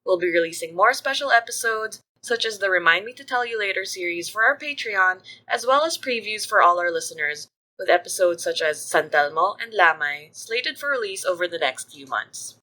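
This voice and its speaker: A woman's voice: medium pitch, lively intonation, moderate pace, few pauses, pushed and bright, poor audio. A woman podcast producer reading scripted credits and announcements to listeners.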